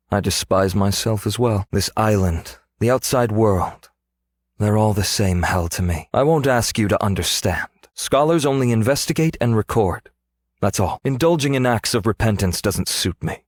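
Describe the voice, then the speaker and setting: A male actor voicing a scholarly, world-weary archaeologist in a video game, speaking in a measured, reflective, formal way. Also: lowish voice, no room sound, clean studio sound. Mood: brooding, bitter. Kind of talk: scripted game character voice lines.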